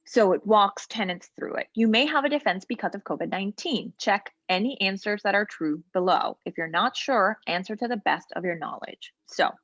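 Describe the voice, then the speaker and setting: A woman trainer speaks at a middle pitch, patiently explaining each step of an online tool. Her talk is loose and unscripted, with many ums and small asides as she clicks along. She is heard over a dull, lo-fi video-call line with a dry, close sound. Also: expressive, moderate pace, hardly any pauses, fairly bright tone, no room sound. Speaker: legal aid trainer. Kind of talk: walkthrough of online software in a role-play interview.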